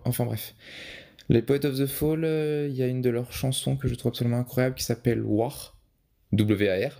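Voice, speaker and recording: A man's voice: mid-range voice, vocal fry, dark tone. A young man answering interview questions in a casual, hesitant way, with frequent restarts and fillers. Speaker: podcast guest, photographer.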